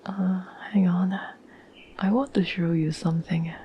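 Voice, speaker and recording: A woman playing a tender, devoted girlfriend in a whispered ASMR roleplay, speaking softly and gently with earnest, halting reassurance. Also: lowish voice, steady tone, dull audio, a little hiss or hum, natural voice. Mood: tender, lightly teasing. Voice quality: soft, breathy whisper.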